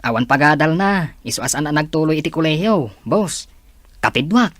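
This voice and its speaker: A man's voice: on the high side, expressive, moderate pace, no room sound. A man playing a deferential, chatty underling reporting to his boss in an audio drama. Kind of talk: Ilocano audio drama acting.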